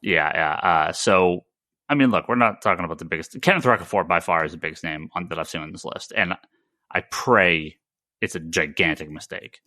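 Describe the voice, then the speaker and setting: A man's voice: low-pitched, brisk, sharp tone, no echo, studio quality. An American man hosting a casual podcast discussion, giving opinions emphatically and stammering over repeated words. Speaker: podcast host; comic shop retailer.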